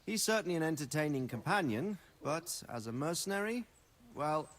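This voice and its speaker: Wry medieval lord with lively intonation, man acting. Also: on the high side, moderate pace, lightly acted. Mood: wry, explaining. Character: wry, pragmatic middle-aged medieval nobleman commanding a garrison. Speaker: lord giving orders to a subordinate. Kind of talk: scripted video game dialogue.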